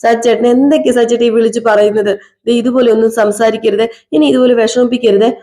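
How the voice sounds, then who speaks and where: Distressed young wife, voiced by a woman: pleading, higher and warmer. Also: steady tone, fast, natural voice. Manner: pleading, repeats husband's name, rises in pitch. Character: pleading young wife, tearful, devoted to family. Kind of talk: one-person domestic drama skit.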